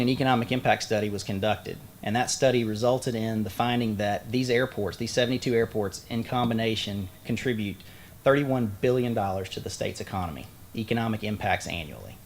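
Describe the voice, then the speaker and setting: A man with a fairly low voice speaks at an outdoor podium, talking in a plain, folksy way and filling gaps with "uh" and "um." A faint outdoor hum runs under his words. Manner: plain-spoken, folksy asides, frequent fillers. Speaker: state aviation official. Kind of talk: ceremony speech. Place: outdoor groundbreaking site. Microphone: podium microphone.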